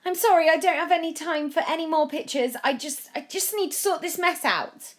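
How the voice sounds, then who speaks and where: A woman's voice: very high voice, a little fast, pitched above the performer's own voice. A woman voicing a flustered, eager young boy who is prime minister.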